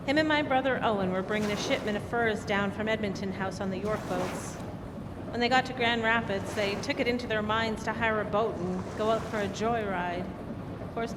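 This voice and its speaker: A woman's voice: moderate pace, lightly acted. A woman playing a frank, stoic village widow in a radio drama, speaking in a blunt, matter-of-fact way. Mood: matter-of-fact recollection. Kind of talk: stage radio drama acting. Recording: noisy hall, long reverb, heavy background rumble.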